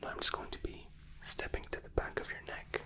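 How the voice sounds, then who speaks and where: A man speaking in a breathy whisper, soothing and politely reassuring, in an ASMR spa roleplay as a therapist. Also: fairly quick, poor audio.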